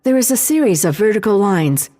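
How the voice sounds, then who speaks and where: A woman's voice: mid-range voice, lively intonation, a little fast, warm tone, natural voice. Polite female AI with lilting delivery acted by a woman. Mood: literal, formal. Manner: formal, helpful, literal. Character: polite, literal female shipboard AI assistant named Selena. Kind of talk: full-cast sci-fi audio drama acting.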